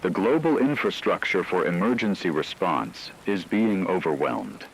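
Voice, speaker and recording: A man playing a grave radio news anchor, delivering a formal, measured, analytical scripted report on an apocalypse. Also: sits low, animated, vocal fry, slight background noise, low-quality recording.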